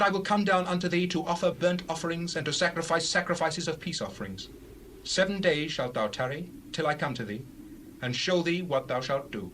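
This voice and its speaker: A man playing an aged, authoritative Hebrew prophet in a dramatized scripture reading, speaking in solemn, deliberate pronouncements. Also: fairly high pitch, a bit bright, a little hiss or hum, rough recording.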